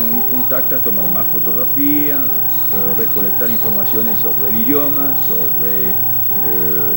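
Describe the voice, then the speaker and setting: Brief Older male ethnologist with a French accent, gravelly, hesitant and reflective, documentary interview over music. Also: on the low side. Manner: reflective, pausing often with hesitant fillers. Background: soft music.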